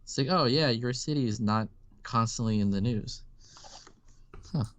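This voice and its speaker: A man's voice: mid-range voice, moderate pace, fairly bright tone. A man from Dallas, a guest artist chatting casually on a live drawing stream, rambling and swearing now and then. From Dallas, Texas.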